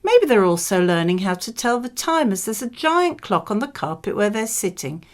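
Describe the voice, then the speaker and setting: A woman, a native English speaker, reading model speaking-exam answers in a conversational, measured and explanatory way. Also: mid-range voice, very animated.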